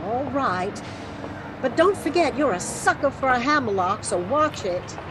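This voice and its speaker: Sassy tough-gal sidekick , actress, fairly high, very expressive voice, sarcastic audio-drama banter over noisy city ambience. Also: female voice, measured pace. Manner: teasing, sarcastic. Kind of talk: hard-boiled detective radio drama.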